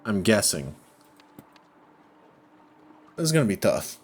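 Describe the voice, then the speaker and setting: A man giving casual gameplay commentary, thinking out loud in short, halting phrases. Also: lowish voice, very animated, breathy edge. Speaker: let's play host. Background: music.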